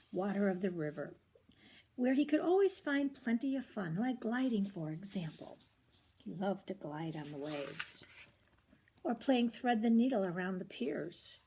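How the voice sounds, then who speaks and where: An older woman reads a children's storybook aloud in an expressive, grandmotherly way, with short asides to the listener. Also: mid-range voice, breathy edge. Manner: expressive, grandmotherly, commenting on the pictures.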